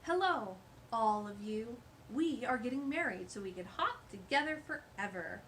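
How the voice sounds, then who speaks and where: A gentle storybook boy bunny read by a woman speaks with earnest, loving sweetness. The voice sits on the high side, with faint background noise. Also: animated, normal speed, rough recording. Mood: cheerful. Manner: earnest, tender.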